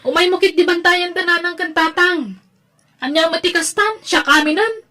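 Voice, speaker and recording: A woman's voice: extremely high pitch, moderate pace, pushed and bright. A woman with an Ilocano accent acting a resentful, nagging young daughter in a radio drama. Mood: annoyed. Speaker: drama voice actor.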